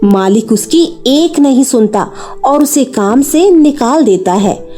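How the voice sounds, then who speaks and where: A woman's voice: high-pitched, animated, slow pace, mellow, faint music behind. A woman narrating a scripted children's story.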